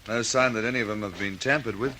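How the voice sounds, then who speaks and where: An actor playing a respectful, matter-of-fact police subordinate in an old radio detective drama. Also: male voice, lowish voice, fast, sharp tone, a little hiss or hum. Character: respectful, plain-spoken male police subordinate.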